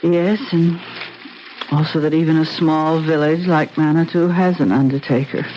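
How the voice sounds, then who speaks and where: An actress playing the worried, earnest wife of a lodge owner in a vintage radio mystery. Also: female voice, low-pitched, steady tone, normal speed, lightly acted. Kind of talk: vintage radio drama acting. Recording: dull old radio broadcast with faint hiss.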